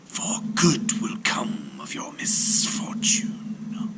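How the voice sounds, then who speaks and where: Storybook giant serpent: regal, solemn, whispered and breathy, very unhurried. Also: male voice. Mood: consoling. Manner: slow, solemn, drawn-out delivery. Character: gigantic ancient male serpent prince, menacing yet gentle. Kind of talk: storybook character voice.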